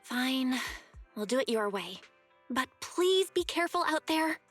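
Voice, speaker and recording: Young female game heroine, high voice, earnest, caring, a bit stubborn, light music. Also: very animated, unhurried, exaggerated voice. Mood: worried, reluctant. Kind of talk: video game story dialogue.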